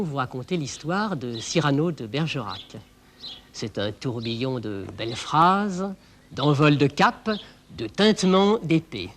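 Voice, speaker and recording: A man playing a chatty narrator telling a story to children, playful, with rhetorical questions and repetitions. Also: fairly high pitch.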